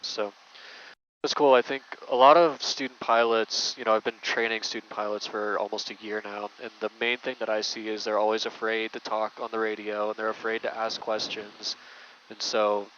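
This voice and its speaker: A man's voice: on the low side, flat delivery, normal speed, fairly bright tone. Male instructor-interviewer, casual and conversational, very level intonation, slightly muffled recording with light noise.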